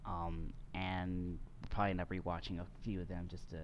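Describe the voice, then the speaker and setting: A man with a lowish voice, relaxed and joking as he co-hosts a casual podcast about movies. He talks loosely and fills gaps with ums and restarts. A faint hiss of noise sits under the recording. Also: fairly quick, muffled recording. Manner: laid-back, joking, many fillers. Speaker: podcast co-host.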